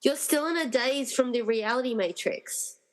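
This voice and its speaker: A woman's voice: a little high, moderate pace, close to an everyday voice. A woman playing an earnest, determined young heroine who questions things, in an amateur radio play. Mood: earnest, questioning. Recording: reverberant, hall-like room sound.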